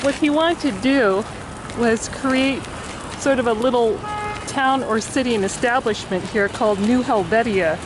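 A woman's voice: on the high side, unhurried, noisy background. A woman in her forties co-hosting a travel vlog, chatty and explaining as she films herself at arm's length. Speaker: vlog co-host. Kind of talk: casual travel vlog narration. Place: outdoors at a historic fort. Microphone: phone held at arm's length.

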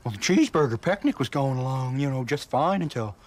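A man's voice: medium pitch, animated, fairly quick, slight background noise, lightly acted. An actor playing a wry, foul-mouthed trailer park man making sarcastic asides. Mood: narrating.

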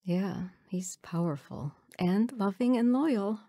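A woman's voice: mid-range voice, animated, slow pace, mellow, dry recording, clean studio sound. An actress playing a restless young mother in an audio drama, affectionate yet ready to leave. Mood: tender. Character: restless young mother, affectionate but leaving her children.

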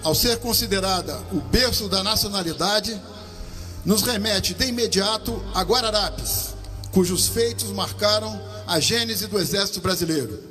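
Solemn military commander at a podium. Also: male voice, sits high, bright, forceful tone, long reverb tail, soft background music. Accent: Brazilian Portuguese. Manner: slow, solemn, declamatory. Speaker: army commander giving a ceremonial speech. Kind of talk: formal ceremonial speech. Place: outdoor parade-ground stand. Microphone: podium microphone.

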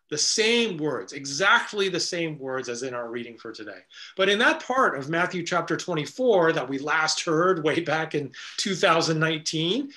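A middle-aged man, a pastor, preaching an earnest, measured sermon indoors before a stone wall. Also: on the high side, bright, forceful tone.

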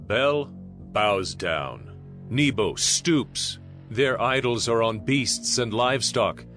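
A man playing a solemn narrator of prophetic scripture, speaking deliberately and dramatically. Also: medium pitch, animated, a bit bright, soft background music. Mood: grave, dramatic.

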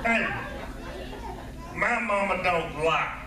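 A man's voice: high-pitched, drawn-out words, a loud crowd. A man voicing an eager, rambling boy puppet, who can't wait for his Christmas presents. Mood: excited. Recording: echoey sanctuary, distant camera, noise.

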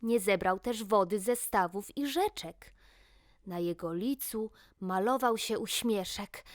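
A woman narrating a rhymed children's fairy tale in an expressive, deliberate storybook manner. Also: medium pitch, dry recording, clean studio sound. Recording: music behind the voice. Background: loud music.

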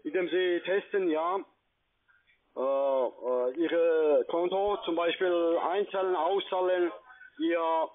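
A non-native man making a halting sales call over the phone, searching for words. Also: fairly high pitch, steady tone. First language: not German. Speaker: telephone salesman. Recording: tinny narrowband phone line.

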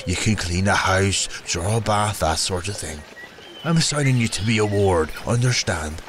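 Man playing a deep, gruffly kind fantasy official. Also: moderate pace, a bit bright, a little hiss or hum. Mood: brisk, instructing. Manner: brusque, instructing. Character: gruffly kind working man helping a young newcomer. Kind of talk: fantasy audio drama acting.

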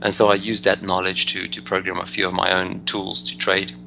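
A man's voice: deep voice, monotone, a little fast, gravelly, sharp tone, slight background noise, poor audio. A man in his thirties with a South African accent, answering interview questions analytically with many restarts and fillers. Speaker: guest trader.

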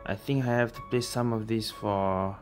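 A man's voice: on the low side, steady tone, normal speed. A man giving casual gameplay commentary, thinking aloud. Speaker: gamer, streamer. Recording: game music behind the voice.

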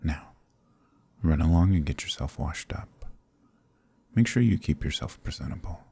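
Man doing a demon prince, very low, husky, hushed purring whisper, possessive and commanding. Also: expressive, normal speed, full character voice. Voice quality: deep gravelly voice. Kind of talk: ASMR roleplay.

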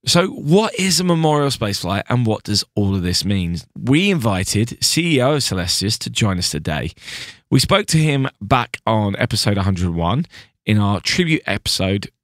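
A man's voice: on the low side, sing-song, moderate pace, no room sound, clean studio sound. A man hosting a podcast, upbeat and playful, mixing chat with scripted presenting. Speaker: podcast host.

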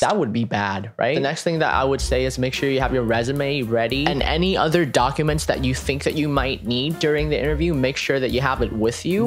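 A young man with an American accent talks to camera at a desk in a small room at home. His voice sits at a middling pitch, and he gives tips in a friendly, emphatic, upbeat way. Light guitar music plays behind him. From United States (California). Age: young adult. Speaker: vlogger. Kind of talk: scripted advice to camera, conversational.

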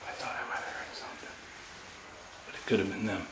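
Man, low voice, hushed uneasy vlog narration, bare echoey rooms, handheld camera hiss. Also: flat delivery, fast. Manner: hushed, uneasy, talking to viewers. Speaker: vlogger exploring an abandoned house. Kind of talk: casual handheld commentary. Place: empty derelict house, indoors. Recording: echoey bare room, noisy.

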